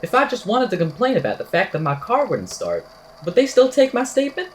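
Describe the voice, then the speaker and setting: A woman's voice: mid-range voice, huge pitch swings, fairly quick, a little hiss or hum. A woman playing an eccentric, whimsical podcaster in an amateur audio drama, telling a strange tale in a verbose, wry, theatrical way. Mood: musing, wry. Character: eccentric, whimsical podcaster recounting a supernatural statement.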